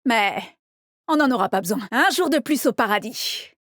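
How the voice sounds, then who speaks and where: A woman's voice: fairly high pitch, lively intonation, normal speed, fairly bright tone, dry recording, studio quality. An actress playing a cocky, teasing female squad leader in a video game, with a confident, brash and punchy delivery. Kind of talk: video game character voice lines.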